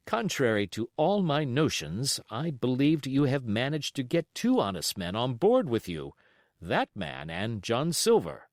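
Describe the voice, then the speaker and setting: Man playing a wry gentleman doctor, lilting widely. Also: mid-range voice, sing-song, measured pace, dry recording, clean studio sound. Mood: measured. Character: genial, shrewd gentleman doctor, gently teasing.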